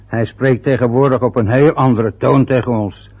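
A man's voice: mid-range voice, fairly even intonation, moderate pace, breathy edge. An actor playing a bitter middle-aged father who grumbles and reproaches his son. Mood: reproachful. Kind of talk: radio play acting. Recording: dull lo-fi old radio recording.

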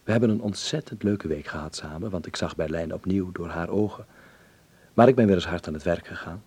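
Low, gravelly young Dutchman. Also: a little fast, a little mellow, no echo. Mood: fond, reflective. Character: thoughtful young Dutch dancer living in 1930s Berlin. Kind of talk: radio drama acting, conversational and reflective.

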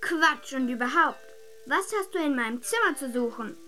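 A woman playing a schoolgirl big sister in a German radio drama, bossy, secretive, brisk and childlike. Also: sits high, expressive, bright, forceful tone, soft background music. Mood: defiant, annoyed.

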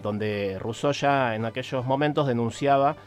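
A man with an Argentine Rioplatense accent explaining history on the radio, didactic, with halting pauses and emphatic stresses. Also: medium pitch.

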